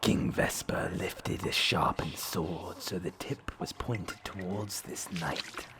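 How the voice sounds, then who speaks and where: A man narrating an audio drama from a script in a whispery, gravelly voice. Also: low-pitched, takes it slowly, very noisy.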